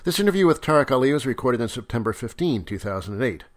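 A man's voice: mid-range voice, big pitch swings, fast talker. A man hosting an interview, reading a scripted introduction and then asking questions in a plain, matter-of-fact way. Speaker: interviewer host.

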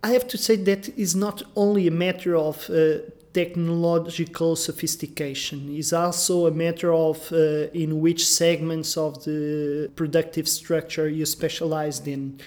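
A man with a Portuguese accent, an economist answering interview questions haltingly in his office. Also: a little high, level tone, a little mellow. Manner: hesitant, many fillers and restarts. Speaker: economist guest.

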